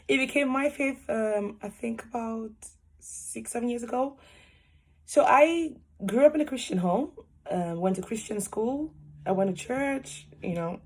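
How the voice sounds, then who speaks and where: A woman's voice: on the high side, normal speed, breathy edge. A woman, telling her story in a cheerful, laughing way over a video call from a small room at home. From Cameroon. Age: 20s. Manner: cheerful, laughs often, animated hands. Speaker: interviewee, student nurse. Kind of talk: casual personal story. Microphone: webcam on a video call.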